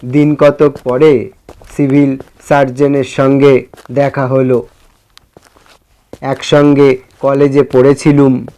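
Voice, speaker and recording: Slow-paced male story narrator. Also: medium pitch, level tone, dark tone, rough recording.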